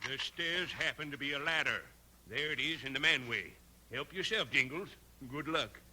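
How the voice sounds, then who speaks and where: Sharp-voiced, wry mine hand. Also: male voice, a little high, moderate pace. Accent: American. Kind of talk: 1950s radio western acting. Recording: noisy old 1950s radio recording.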